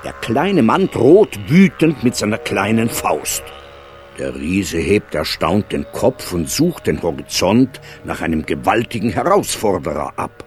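A man's voice: lowish voice, animated, a little slow, faint music behind. An actor as the male storyteller of a whimsical fable, narrating a radio play in dramatic storybook style. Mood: storytelling.

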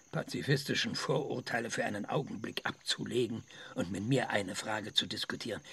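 An actor playing an embittered, impatient middle-aged visionary lecturing a sceptic in a radio drama, husky and sarcastic. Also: male voice, medium pitch, a bit bright, dry recording, full character voice. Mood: condescending. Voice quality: gravelly, husky.

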